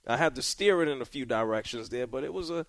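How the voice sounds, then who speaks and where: A man hosting a sports talk radio show, nudging a caller along with quick questions. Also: medium pitch, no room sound. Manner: lively, prodding questions. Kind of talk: radio call-in chat.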